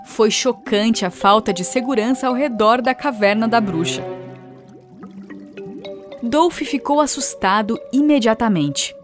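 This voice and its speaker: A woman's voice: fairly high pitch, a little slow, soft background music. A woman reading scripted narration for a children's cartoon story.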